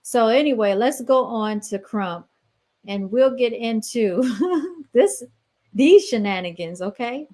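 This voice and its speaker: A woman's voice: fairly high pitch, slow, dark tone. Woman, podcast host, casual commentary, sarcastic, chatty, addresses listeners. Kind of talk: casual commentary reacting to clips.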